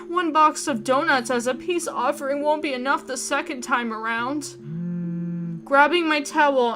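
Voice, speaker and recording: Young working woman telling her own story in a visual novel, introspective and anxious, high voice over guitar. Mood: flustered. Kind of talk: first-person visual novel narration. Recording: guitar music behind. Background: plucked guitar music.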